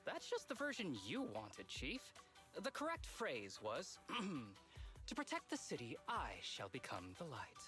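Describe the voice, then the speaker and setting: Wry, animated young deputy. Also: male voice, on the high side, measured pace, music in the background. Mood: wry, theatrical. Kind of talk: anime-style video game voice acting.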